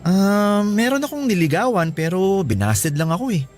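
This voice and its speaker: Earnest young suitor, lively high-pitched voice. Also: male voice, moderate pace, soft background music. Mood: wistful. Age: young adult. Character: smitten, earnest young student suitor, pleading boyfriend. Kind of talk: Tagalog radio drama acting.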